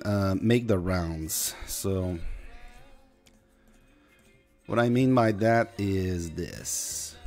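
Young man, light Quebec French accent, relaxed rambling streamer commentary over faint background music. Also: medium pitch. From Quebec, Canada. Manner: rambling, chatty, talks to chat. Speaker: game streamer. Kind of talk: casual gameplay commentary.

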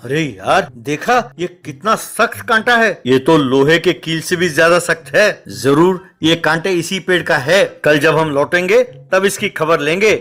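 A man voicing an indignant, hot-headed truck driver in an animated story. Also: a little high, unhurried, few pauses. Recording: music under the voice.

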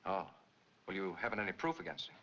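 A man's voice: low-pitched, big pitch swings, quick pace, pushed and bright, exaggerated voice. An actor in his thirties playing a wary, blunt, skeptical American friend in a 1940s film noir. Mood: incredulous. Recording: dull, hissy 1940s film soundtrack.

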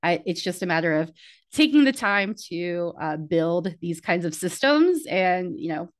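A middle-aged woman talking casually through a screen-share of her website, with many ums and uhs and a lively, rising pitch when excited. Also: measured pace. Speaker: community strategist walking through her website.

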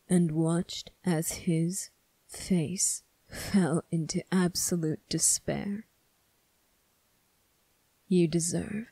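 Woman playing a slow, stern demon prince. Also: lowish voice, warm tone, dry recording, full character voice, low-quality recording. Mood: bitter, accusing. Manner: slow, deliberate, accusing, with breathy whispered phrases. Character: stern, bitter young male demon prince rebuking an angel. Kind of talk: scripted ASMR roleplay monologue.

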